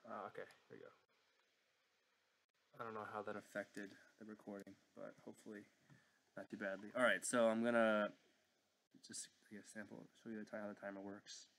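Young guy doing a casual screen tutorial, low voice, very flat delivery, kinda unsure and apologetic. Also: fairly quick, gravelly, long pauses, rough recording. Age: young adult. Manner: hesitant, unscripted, apologetic. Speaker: learner presenting a tutorial. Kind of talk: casual screen-recorded software walkthrough. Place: indoor room at home.

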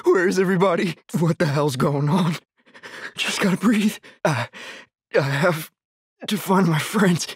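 A young man struggles to survive in a horror video game. His voice is very rough and breathy, with urgent, tense delivery. He sounds frightened but set on getting out, in a dry, close recording. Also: sits high, measured pace, pauses often, dry recording, exaggerated voice. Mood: pained, worried. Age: young adult. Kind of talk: dramatic game voice lines.

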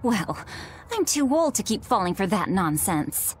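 A woman voicing an earnest, spirited teenage village huntress in a video game, expressive in her delivery. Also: mid-range voice, measured pace. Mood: uneasy. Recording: music under the dialogue.

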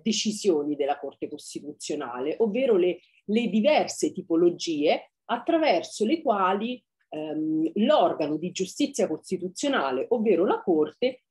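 A woman in her forties giving an academic talk over a video call from a book-lined study, deliberate and with frequent hesitation fillers. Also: on the low side, a little mellow. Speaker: law lecturer. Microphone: webcam on video call.

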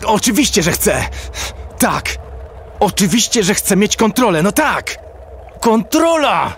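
A man's voice: high voice, big pitch swings, measured pace, a bit bright, a little hiss or hum, exaggerated voice. A man playing a defiant, distraught commando in a sci-fi audio drama, urgent and emphatic in delivery. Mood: angry. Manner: urgent, emphatic, broken exclamations. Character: defiant, distraught male commando trapped in his body.

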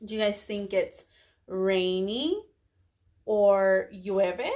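A young woman preschool teacher addressing little children in a deliberate, clearly enunciated way, often posing questions. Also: medium pitch, darkish tone. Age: young adult. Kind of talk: children's lesson, addressing kids, English with some Spanish words.

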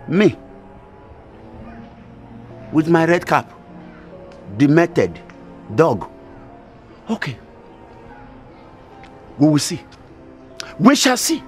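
Bitter, high-voiced Nigerian elder man, middle-aged, slow and heavy with threats, music underneath. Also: very animated, exaggerated voice. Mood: indignant. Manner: indignant, insulting, drawn-out delivery. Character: proud, quarrelsome red-capped uncle, bitter and threatening. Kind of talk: film drama acting.

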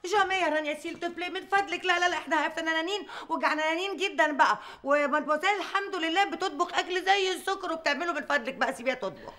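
A woman's voice: very high-pitched, racing, hardly any pauses, bright, forceful tone. A woman playing a pretentious Egyptian aunt who drops French words into her talk. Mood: snooty. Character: affected snobbish aunt sprinkling French.

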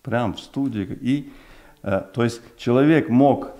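A man's voice: mid-range voice, unhurried, mellow. A man in his forties whose first language is Uzbek, a guest talking in a studio interview with a desk microphone, speaking thoughtfully with many fillers and restarts. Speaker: project developer guest. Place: small television studio. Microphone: desk mic on stand.

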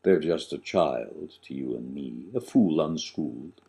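An older man recites satirical rhyme in a low voice that swings widely in pitch. He takes his time, leaning on key words with theatrical relish and then dropping away. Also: expressive, gravelly, warm tone. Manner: dramatic, deliberate delivery with emphatic swells and drops. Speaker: author reading own verse. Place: small living room, on a sofa, indoors.

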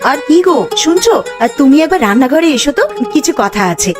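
A woman voicing a bossy village wife and mother in a cartoon, animated and insistent. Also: high-pitched, fast, over music.

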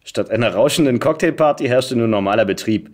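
A man giving an expressive reading of a satirical first-person story, with a dry, wry comic delivery. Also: mid-range voice, brisk. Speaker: podcast guest, voice actor.

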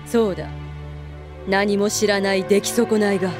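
Woman acting female military zealot, mid pitch, cold, authoritative, declamatory, anime drama, music underneath. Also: steady tone, normal speed, natural voice. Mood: stern, threatening. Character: stern, commanding female military instructor turned zealot. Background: background music.

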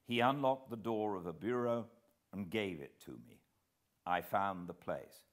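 British man, fifties, low voice, dramatic storybook narration, unhurried and expressive. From Britain. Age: 50s. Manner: measured, expressive. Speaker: audiobook narrator. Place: living room beside a fireplace, indoors.

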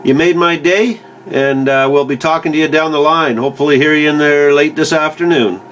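A man's voice: on the high side, brisk, a bit bright, slight background noise. A man from Canada chatting casually as a CB radio operator, folksy, with call signs and stock radio phrases. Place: indoors beside a CB radio set.

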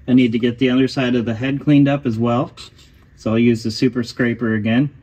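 Guy talking thru a car repair, calm plain explaining, mid-pitched voice. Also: fairly quick. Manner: calm, matter-of-fact explaining. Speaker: host of a car restoration channel. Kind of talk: voice-over narration of a repair.

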